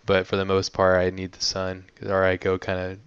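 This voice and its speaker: A man's voice: deep voice, completely flat delivery, a little fast, smooth, no room sound. A man from the United States hosting a podcast, chatting in a laid-back, joking way with lots of "like". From United States (San Diego, Hawaii). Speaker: podcast host. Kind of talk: casual interview chat. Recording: dry, lo-fi audio.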